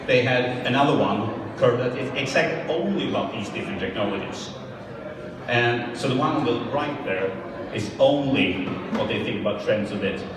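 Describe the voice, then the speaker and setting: A man with a Swedish accent giving a technical lecture, speaking haltingly with many fillers and restarts. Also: mid-range voice. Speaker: conference speaker. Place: lecture hall. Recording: roomy hall, distant mic, background noise.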